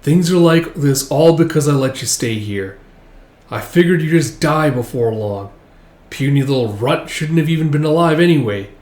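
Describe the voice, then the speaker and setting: A man's voice: a little high, lively intonation, moderate pace, a little mellow, pitched above the performer's own voice, close to an everyday voice. A man voicing a hot-tempered, wounded mercenary leader with a harsh, accusing, menacing manner. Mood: bitter, accusing. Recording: echoey room.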